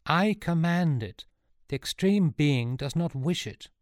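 A man's voice: medium pitch, animated, moderate pace, dark tone, no room sound, clean studio sound, close to an everyday voice. A man voicing an anxious young male hero in a story, urgent and insistent as he pleads and questions. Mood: desperate pleading.

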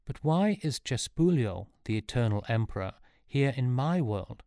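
A man's voice: medium pitch, animated, normal speed, dark tone, no echo, studio quality, pitched above the performer's own voice, close to an everyday voice. A man voicing an anxious young male hero in a story, urgent and insistent as he pleads and questions. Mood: incredulous questioning.

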